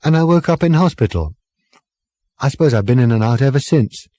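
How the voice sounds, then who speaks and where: Earnest young woman for storybook dialogue, performed by a man. Higher, mellow, insistent and emphatic. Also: expressive, brisk, no room sound, close to an everyday voice. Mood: anxious, intense. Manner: emphatic, urgent explaining.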